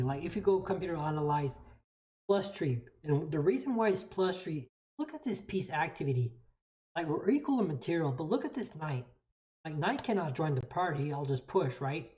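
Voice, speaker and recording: A chess streamer from Canada with a French Canadian accent talks through moves in a mid-pitched voice. The delivery is casual and halting, with phrases repeated as thoughts form. The sound is a little dull and lo-fi. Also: darkish tone. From New Brunswick, Canada. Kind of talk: casual live commentary explaining moves.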